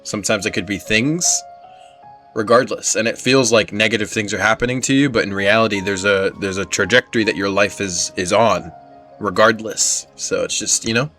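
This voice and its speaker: A man's voice: lowish voice, normal speed, sharp tone. A man giving casual, slangy and candid reaction commentary as he plays a video game. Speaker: gamer reacting to a game playthrough. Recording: game music behind the voice. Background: video game music.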